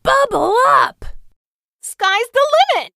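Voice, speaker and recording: A bold, cheery little superhero kid from a children's cartoon. The voice is very high and swoops widely, drawing out each exclamation. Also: very animated, slow, gravelly, pushed and bright, no echo, clean studio sound, heavily acted. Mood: eager, triumphant. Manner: exclamatory catchphrase delivery. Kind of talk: children's cartoon and game voice lines.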